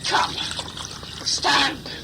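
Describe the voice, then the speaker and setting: An actor playing a proud, volatile, bloodthirsty warrior lord in an audio drama, with theatrical, grandiose delivery. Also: male voice, extremely slow, heavy background noise. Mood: grand, taunting.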